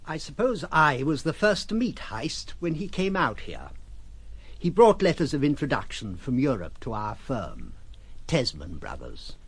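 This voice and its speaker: An actor playing a puzzled, civil trading merchant, recounting events in a hesitant, conversational way in an audio drama. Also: male voice, mid-range voice, very animated, measured pace, slightly rough, no room sound, exaggerated voice. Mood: puzzled, polite. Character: puzzled, civil male trading merchant Mister Tesman, chuckling raconteur. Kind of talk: audio drama narration in character.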